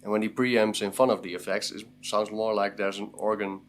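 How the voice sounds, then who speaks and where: A man giving a casual gear demo as a guitar effects demonstrator, speaking informally and haltingly as he thinks aloud. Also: on the low side, flat delivery. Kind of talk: casual product demo commentary.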